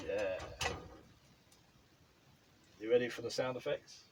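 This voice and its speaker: British man vlogging over clear background noise. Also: medium pitch, slow pace. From United Kingdom. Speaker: vlogger and amateur radio hobbyist. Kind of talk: casual vlog chat to camera. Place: inside a small motorhome.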